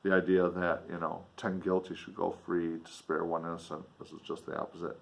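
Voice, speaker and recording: A man reading a classic novel in an expressive audiobook style, with spoken commentary. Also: deep voice, fairly quick, vocal fry.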